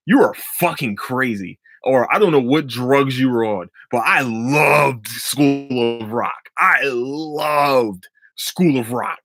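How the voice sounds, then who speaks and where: Dude hosting his own podcast, loud bright voice, cussing and rambling, kinda stuffy, dry close mic. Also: medium pitch, dry recording. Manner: excitable, profane, rambling, laughs often. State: stuffy from allergies. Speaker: podcast host. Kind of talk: solo casual podcast talk.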